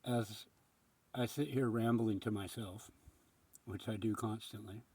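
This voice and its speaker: Husky-voiced man musing in earnest. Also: fairly low pitch, steady tone, a little slow, a little mellow, no echo. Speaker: spiritual speaker. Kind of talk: rambling reflective monologue.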